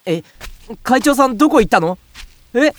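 A woman playing an excitable, scatterbrained young boy who complains loudly in an audio drama. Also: on the high side, normal speed, close to an everyday voice. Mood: indignant, baffled. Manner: exclamatory, rambling comic complaints. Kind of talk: audio drama acting with first-person narration.

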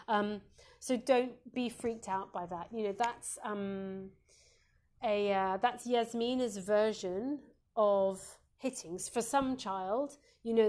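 Woman host, Montessori educator: mellow tone, croaky voice, gentle and reassuring, lots of ums. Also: medium pitch. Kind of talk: live parenting advice Q&A.

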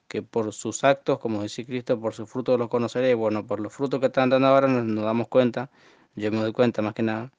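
A man in his early twenties with a northern Argentine accent, speaking off the cuff in an earnest, indignant, halting monologue. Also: darkish tone, clear, resonant tone, dry recording. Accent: northern Argentine Spanish. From Formosa, Argentina. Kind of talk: unscripted monologue to camera. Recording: muffled, dull low-fidelity audio.

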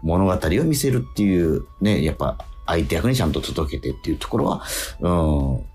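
A man hosting a casual radio talk, posing quiz questions, haltingly repeating himself and slowing down to stress key phrases. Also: sits low, big pitch swings, a little mellow, husky, faint music behind.

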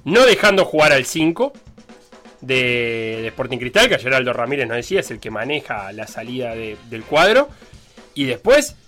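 A bright, forceful male voice with a lilt, musing aloud about football and circling back on his words with fillers. Faint music hums behind him. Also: mid-range voice, sing-song, moderate pace. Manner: rambling, many fillers and restarts. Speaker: sports radio panelist. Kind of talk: casual football talk.

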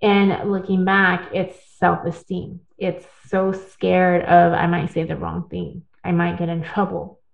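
A woman's voice: on the low side, completely flat delivery, a little slow, vocal fry, few pauses. A woman telling her own story in a casual interview, earnest and talkative, with tag questions and restarts. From born in the United States, partly raised in Taiwan. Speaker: guest. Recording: echoey, phone-like audio.

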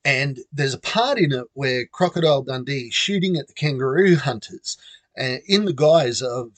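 A man's voice: medium pitch, lively intonation, moderate pace, no echo. A middle-aged Australian man casually telling a personal story, chatty and self-amused. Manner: chatty, self-amused, acts out quoted voices.

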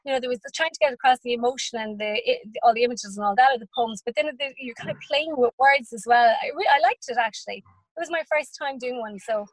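Irish woman guest, young, fairly high voice, dry close sound, halting thoughtful chat. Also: dry recording, low-quality recording. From Ireland. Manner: thoughtful, with many fillers and restarts. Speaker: poet and teacher, podcast guest. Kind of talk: casual interview chat.

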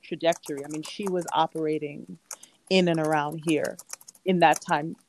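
A woman's voice: low-pitched, fairly even intonation, moderate pace, a little mellow, slight background noise, low-quality recording. A woman poet from Queens, New York, speaking as a guest in a casual interview. She talks reflectively, rambles a little and laughs often. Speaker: poet and performing artist.

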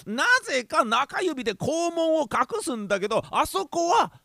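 A man's voice: extremely high pitch, big pitch swings, normal speed, gravelly, bright, forceful tone, no room sound, clean studio sound, heavily acted. A man playing a lewd, pushy, clownish police detective in a comic audio drama, wheedling and leering. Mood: leering, teasing. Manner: leering, wheedling, yelps in exaggerated pain.

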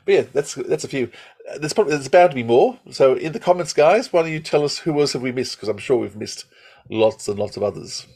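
A man with a husky, slightly rough voice of medium pitch co-hosts a casual podcast. He talks hesitantly, circling back over his phrases as he thinks aloud. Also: lively intonation, a little fast, darkish tone. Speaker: podcast co-host.

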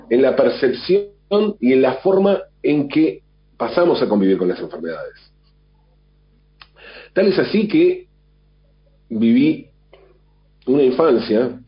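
A radio host, sick at home with a mild flu and cough, musing in a thoughtful, rambling way with many restarts. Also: male voice, medium pitch. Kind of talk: reflective monologue. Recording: dull lo-fi sound.

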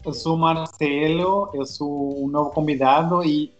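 A man's voice: fairly high pitch, steady tone, unhurried, echoey room, dull audio, soft background music. A man from Peru with a Peruvian Spanish accent, a podcast guest speaking carefully as a non-native. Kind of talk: casual podcast chat.

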